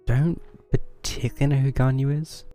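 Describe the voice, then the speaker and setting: A male streamer comments casually on a game in a dark, mellow, medium-pitched voice. His asides are dry and muttered, with soft music in the background. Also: steady tone, normal speed. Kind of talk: casual live playthrough commentary. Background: faint game music.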